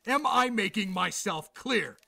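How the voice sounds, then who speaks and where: A man playing an exasperated, short-tempered veteran police boss in a radio drama, barking and sarcastic with a gravelly, harsh voice. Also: sits high, lively intonation, measured pace, sharp tone, dry recording, full character voice. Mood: exasperated anger.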